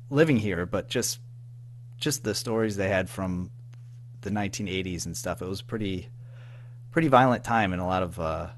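A man's voice: deep voice, a little hiss or hum. An American man giving a casual interview as a guest, speaking in an informal, hesitant, rambling way. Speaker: interview guest, audio drama writer and producer.

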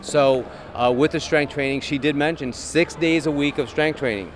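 A man's voice: a little high, normal speed, a little hiss or hum, rough recording. Upbeat male expo host. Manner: upbeat, enthusiastic, with many restarts. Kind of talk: casual interview at an expo. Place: large indoor expo hall, in front of a backdrop. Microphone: handheld microphone.

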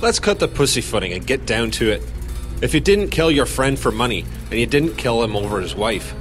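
Man acting a blunt, animated cop. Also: mid-range voice, brisk, soft background music, close to an everyday voice. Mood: scornful, impatient. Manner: blunt, scornful. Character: gruff, impatient, sarcastic hard-nosed police detective. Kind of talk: audio horror drama acting.

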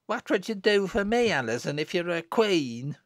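A man's voice: high-pitched, big pitch swings, moderate pace, dry recording, studio quality, pitched above the performer's own voice. A man voicing a spiteful, knowing little village girl in an audiobook, with a pert, taunting manner. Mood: pert, resentful.

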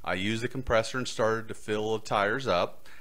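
A man's voice: fairly low pitch, moderate pace, a bit bright. A middle-aged man hosting a podcast, telling a prepared personal story into a close microphone. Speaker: podcast host. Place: small studio set indoors. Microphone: close boom-arm microphone.

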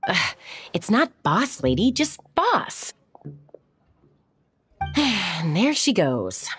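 A woman playing a poised, patient innkeeper in a video game, wry and unhurried. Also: medium pitch, big pitch swings, fairly bright tone, breathy edge, exaggerated voice.

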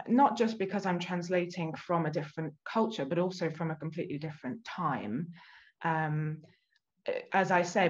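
A young woman author answering a panel question on a video call, reflective and searching for words. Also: sits low. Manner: reflective, with fillers and restarts. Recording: muffled video call audio.

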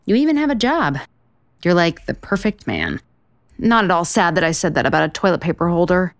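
A woman's voice: lowish voice, big pitch swings, fairly quick, dry recording, clean studio sound. An actress playing a blunt, exasperated single woman in a romantic comedy audio drama, sarcastic, emphatic and profane. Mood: awkward, wry. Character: blunt, exasperated, foul-mouthed single woman, sharp-tongued cynic.

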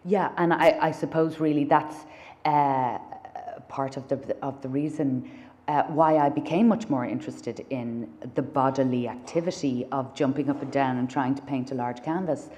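An Irish woman in her thirties speaks at a gallery lectern in a very low, mellow voice. She talks thoughtfully, pausing on fillers and restarting her phrases. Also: steady tone. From Ireland. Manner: thoughtful, many fillers and restarts, gestures with hands. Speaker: artist. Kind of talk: public conversation on stage. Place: dark stage in a gallery lecture hall.

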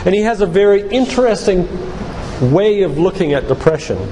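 An older man giving a sermon-like lecture in a church, speaking in an earnest, deliberate, emphatic way with repetition. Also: high voice, lively intonation. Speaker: doctor and guest church speaker. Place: church, live talk. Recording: echoey hall with loud background noise.

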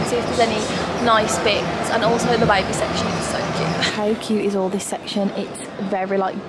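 A woman, chatting excitedly in a casual shopping vlog, filmed on a handheld phone inside big stores. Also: on the high side, very noisy. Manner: gushing, upbeat, lots of "like". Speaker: vlogger. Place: large indoor shopping stores. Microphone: handheld phone at arm's length. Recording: loud store ambience behind voice.

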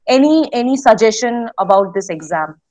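A young woman with a slightly high voice leads an interview over a video call. She asks her questions politely and formally. She is speaking from a small room at home. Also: expressive, normal speed, a little mellow. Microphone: laptop webcam on video call.

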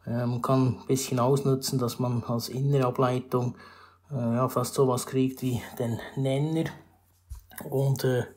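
A male math teacher with a Swiss German accent explains a math problem step by step over a screen recording, with many pauses and restarts. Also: mid-range voice, a little mellow. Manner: formal address to viewers, rising emphasis on new steps.

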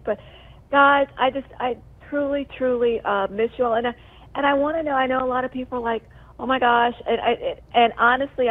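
A woman talking casually and heartfelt over a phone line, effusive and rambling, with lots of emphasis. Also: sits high. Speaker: TV news presenter on medical leave, calling in. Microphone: telephone call.

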